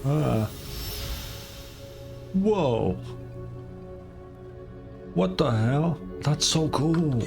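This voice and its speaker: A man's voice: a little high, animated, drawn-out words, gravelly, pauses often. A man streaming a video game with casual, informal, sweary commentary, game music playing behind him.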